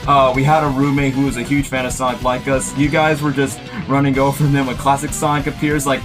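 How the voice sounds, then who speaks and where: A young man hosting a casual reaction video in an excitable, chatty manner. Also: medium pitch, fast talker, over music. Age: young adult. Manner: excitable, breaks into mimicked voices and shouts. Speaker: host, amateur voice actor.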